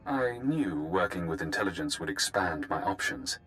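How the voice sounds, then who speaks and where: An actor playing a coolly persuasive, calculating escaped prisoner in a video game, speaking in a measured, formal way. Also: male voice, deep voice, lively intonation, a bit bright, soft background music. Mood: smug, calm. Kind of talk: video game dramatic acting.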